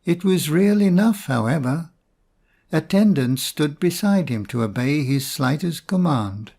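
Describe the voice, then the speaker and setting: A man reading a storybook fairy tale in a measured, expressive storytelling manner. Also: on the high side, vocal fry. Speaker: storybook narrator.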